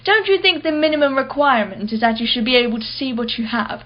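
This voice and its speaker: An actress playing a wealthy, privileged art collector in a radio play, with an arch, articulate, sardonic manner. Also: female voice, high voice, expressive, fast, lo-fi sound. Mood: arch, probing. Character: haughty, wealthy, privileged female art collector, witty and defensive.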